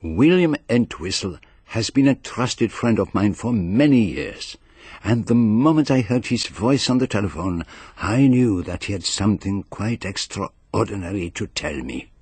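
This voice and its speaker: An actor playing a courteous, inquisitive gourmet detective in a radio drama, speaking in a measured, precise, formal way. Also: male voice, on the low side, animated, darkish tone, breathy edge, no echo. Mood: reflective, savouring. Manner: measured, precise, formal, savouring his words. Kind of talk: radio drama acting with first-person narration.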